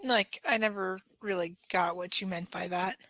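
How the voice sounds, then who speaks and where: A woman's voice: medium pitch, moderate pace, fairly bright tone, dry recording, close to an everyday voice. A woman playing a shy, curious, teasing young man in a fan fiction audio drama, talking casually and hesitantly.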